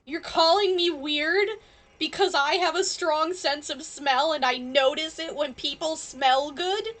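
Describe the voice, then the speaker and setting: A woman's voice: high voice, measured pace, fairly bright tone. A woman live streaming a game, chatting casually and playfully with viewers. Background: game music.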